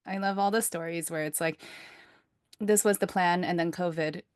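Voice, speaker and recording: A woman's voice: fairly low pitch, steady tone, moderate pace, smooth. A woman hosting a podcast, reading a thoughtful, earnest introduction before moving into casual interview questions with frequent listening "mm"s. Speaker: podcast host.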